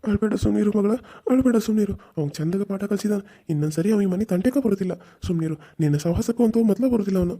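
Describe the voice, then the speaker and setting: A man's voice: high-pitched, rapid-fire, mellow, pitched above the performer's own voice. A man voicing a stern, protective older uncle who scolds and threatens in a North Karnataka accent. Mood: comforting. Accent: North Karnataka Kannada.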